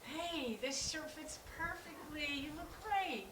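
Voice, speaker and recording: Woman acting a fretful protective sister, high, echoey voice. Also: expressive, slow pace. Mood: tender, tired. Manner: fretful, coaxing, interrupting. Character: flustered, protective, exasperated adult sister. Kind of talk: staged script reading. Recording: roomy, distant, noisy stage pickup.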